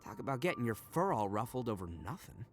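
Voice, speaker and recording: A man's voice: mid-range voice, sing-song, quick pace, faint music behind, close to an everyday voice. A man voicing a brash, boastful, big-hearted young oni gang boss in a video game, boisterous and slangy in a theatrical way. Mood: cocky. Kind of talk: video game character voice acting.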